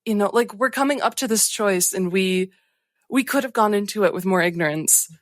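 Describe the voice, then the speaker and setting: A woman's voice: mid-range voice, fairly quick, dry recording. Woman chatting casually, dry close sound. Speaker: tabletop game player. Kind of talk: casual post-game chat.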